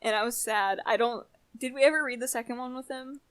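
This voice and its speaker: Young woman co-host, slightly high voice, informal slangy storytelling with an amused manner. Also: brisk, a bit bright, clean tone, no echo. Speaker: podcast co-host. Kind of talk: casual chat.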